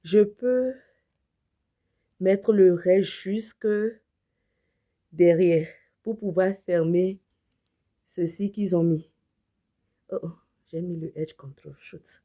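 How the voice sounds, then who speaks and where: A woman's voice: on the low side, takes it slowly, long pauses, dark tone. A woman with a slight cold, chatting casually as she demonstrates a wig and mixes English words into her talk. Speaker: beauty vlogger and image consultant. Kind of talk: casual wig unboxing demo.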